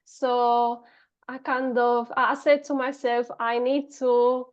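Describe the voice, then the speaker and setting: A woman's voice: fairly high pitch, level tone, takes it slowly, smooth, muffled recording. A Spanish-accented woman in her thirties, a podcast guest talking casually with many fillers and restarts. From Spain. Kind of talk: casual interview talk.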